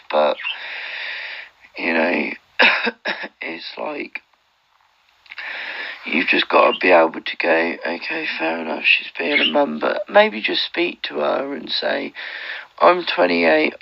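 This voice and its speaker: An adult with a heavily gravelly, fry-laden voice rambles through casual advice. The delivery swings widely in pitch at an unhurried pace, circling back on itself and peppered with swearing. It comes through dull walkie-talkie audio. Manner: rambling, repetitive, frequent swearing. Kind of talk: casual advice chat over radio.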